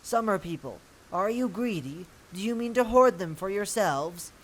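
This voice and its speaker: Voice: medium pitch, expressive, normal speed, lightly acted. A performer voicing proud, rivalrous fae courtiers of the seasons in a theatrical, declamatory audio-drama style. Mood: challenging.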